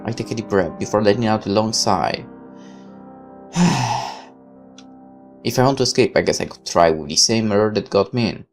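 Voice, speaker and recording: A man's voice: fairly low pitch, normal speed, pauses often. Man narrating a game over music. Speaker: gaming let's player reading a visual novel aloud. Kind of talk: expressive narration of game text with casual asides.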